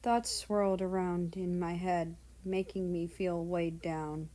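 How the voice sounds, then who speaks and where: Slow-paced woman reading a story. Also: fairly low pitch, steady tone, warm tone. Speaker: narrator reading fan fiction aloud. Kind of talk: expressive amateur audiobook narration.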